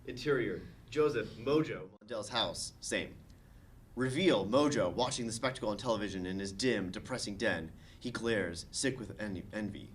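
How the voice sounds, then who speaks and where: A man's voice: fairly low pitch, normal speed, slight background noise. Man narrating a script at a table read. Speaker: narrator reading stage directions.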